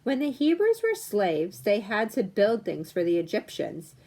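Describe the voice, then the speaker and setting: A woman's voice: medium pitch, huge pitch swings, unhurried, warm tone, full character voice. A woman voicing a patient mother who explains customs in a picture-book read-aloud. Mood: explaining.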